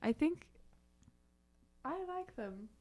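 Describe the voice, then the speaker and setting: A woman's voice: sits high, sing-song, slow, a little mellow. A woman playing a tabletop game, chatting casually and jokingly.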